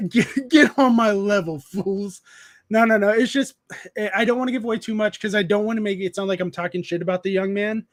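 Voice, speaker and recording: A man in his thirties hosts a relaxed evening stream with friends, talking in a noticeably high voice. He rambles, restarts his sentences and stops to read viewers' messages aloud. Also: brisk. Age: 30s. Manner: rambling, restarts often, reads chat aloud. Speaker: streamer host. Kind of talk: casual live-stream chat.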